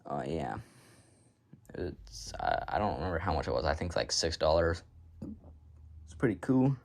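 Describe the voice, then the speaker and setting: Casting: man, low-pitched, casual hobbyist vlogger, offhand and self-deprecating delivery, unscripted rambling. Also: big pitch swings, normal speed, vocal fry. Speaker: hobbyist showing a radio collection. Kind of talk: casual unscripted show-and-tell.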